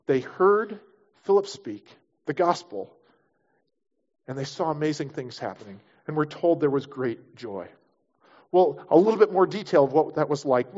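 A pastor delivering a sermon in an easy, conversational way, slipping into little voices for the people he quotes. His voice sits a touch high for a man. The recording is dull and lo-fi.

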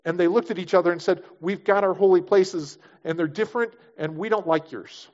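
A pastor delivering a sermon in an easy, conversational way, slipping into little voices for the people he quotes. His voice sits a touch high for a man. The recording is dull and lo-fi. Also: fairly even intonation, a little fast, a little mellow.

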